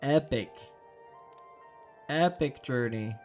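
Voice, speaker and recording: Hoarse young man streaming a game. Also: medium pitch, slow, soft background music. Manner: chatty, talks to viewers by name. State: hoarse, losing his voice. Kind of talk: casual live-stream chat.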